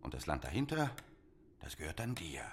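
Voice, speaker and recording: A man's voice: a bass voice, sing-song, a little fast, slight background noise, exaggerated voice. An actor playing a poor, middle-aged village father in a radio play, resigned and sardonic in manner. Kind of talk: German radio play acting.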